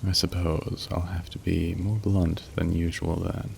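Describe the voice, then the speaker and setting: Casting: male actor as incubus on a demonic phone line, ASMR. Deep, subdued melody, polite, wry, a touch awkward; rain in the background. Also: flat delivery, a little slow, gravelly, a little mellow, exaggerated voice. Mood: suave, formal, suggestive. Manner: polite, wry, suggestive turning awkward and apologetic. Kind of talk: ASMR roleplay acting. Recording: rain noise behind the voice. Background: falling rain.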